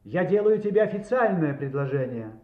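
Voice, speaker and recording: An actor playing an ardent suitor in an audio drama, speaking in a solemn, formal, deliberate and theatrical way. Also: male voice, fairly high pitch, big pitch swings, phone-quality sound. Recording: thin, band-limited, echoing sound.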